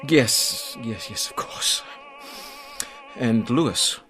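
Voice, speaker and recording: A man's voice: medium pitch, wildly swooping pitch, very slow, fairly bright tone, faint music behind, exaggerated voice. A flustered, swooping male hotel manager. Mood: wounded, distraught. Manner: stammering, flustered, formal. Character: stern, anxious, meticulous male hotel manager under suspicion. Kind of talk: radio drama acting.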